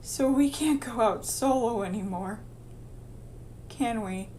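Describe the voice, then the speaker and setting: An actress plays an anxious, careful woman scientist in a horror radio play. Her voice sits fairly high and she speaks earnestly, with caution. Faint noise runs behind her. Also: slow, warm tone. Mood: worried. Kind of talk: amateur horror radio drama acting.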